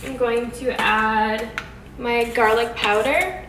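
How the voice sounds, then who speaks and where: A woman's voice: a little high, fairly even intonation, slow, fairly bright tone, a little hiss or hum. A woman in her twenties chatting informally and casually as a vlogger while she cooks at home. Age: 20s. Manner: informal, chatty, talks affectionately to pets. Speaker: vlogger and host of a home cooking series. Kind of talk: casual vlog chat while cooking. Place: home kitchen, indoors. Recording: echoey room, music behind.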